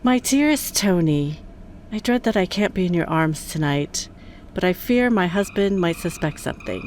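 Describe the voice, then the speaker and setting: A lowish-voiced, lovelorn wife played by an actress in a noir radio play. She speaks with tender, formal longing, as if voicing a secret love letter, over faint noise. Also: lively intonation, normal speed, a little mellow, lightly acted. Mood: yearning. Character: yearning married woman reading her secret love letter. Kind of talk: romantic voice-over in noir audio drama.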